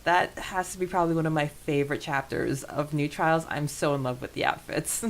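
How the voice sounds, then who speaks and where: Giggly, lower-pitched female podcast co-host. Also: brisk. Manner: enthusiastic, giggly, frequent laughing. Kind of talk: casual discussion recapping a fan fiction chapter.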